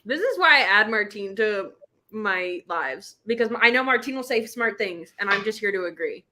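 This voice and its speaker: A woman's voice: medium pitch, animated, normal speed, bright, forceful tone, a little hiss or hum. A woman hosting a casual live book club chat, talking in an informal, slangy, rambling way. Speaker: live show host.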